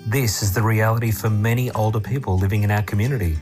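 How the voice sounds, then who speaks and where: Man, ad voice-over, lowish voice, gentle read, guitar music behind. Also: steady tone, fast talker. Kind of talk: scripted ad narration.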